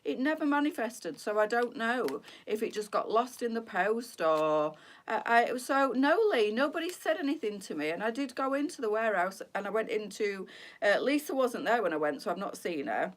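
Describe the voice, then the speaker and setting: A woman's voice: fairly high pitch, animated, normal speed. Chatty Yorkshire woman live-streaming. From Yorkshire, England. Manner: chatty and rambling, laughs often, teasing. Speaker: boutique live-stream host. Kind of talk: casual live-stream chat to viewers.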